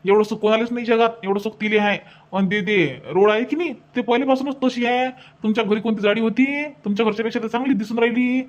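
A man's voice: very high voice, fairly even intonation, fast talker, highs cut off. A man voicing an indignant, grumbling village family man in a comedy cartoon, with a Varhadi Marathi accent, repeating his phrases. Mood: defensive, indignant.

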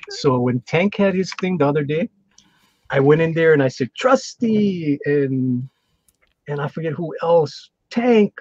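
A man's voice: a little high, expressive, measured pace, a little mellow, muffled recording. A man from Hawaii co-hosting a casual chat, friendly and laughing often as he explains things. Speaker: co-host.

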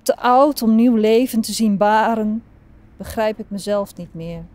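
Slow, mellow woman reciting poetry. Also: on the high side, no room sound. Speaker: poet reciting a poem. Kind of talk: poetry recitation, measured delivery.

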